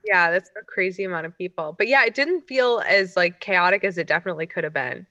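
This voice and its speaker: Bright forward female voice, chatty co-host, bit muffled lo-fi call sound. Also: fairly low pitch, expressive, quick pace. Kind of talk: casual chat. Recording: dull, muffled online call audio.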